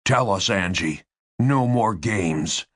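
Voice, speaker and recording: Guy doing a pushy male interrogator in an audiobook, low and slow, tight controlled, enunciates hard. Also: lively intonation, no echo, clean studio sound. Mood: stern. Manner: measured, tight, deliberately enunciated. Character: controlled, insistent male interrogator with strained patience.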